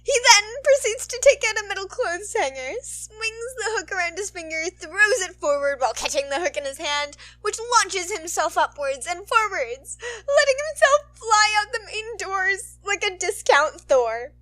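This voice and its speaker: A young woman reading a scripted story aloud as a podfic narrator, playful and expressive. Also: very high-pitched, moderate pace, no room sound. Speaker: podfic reader.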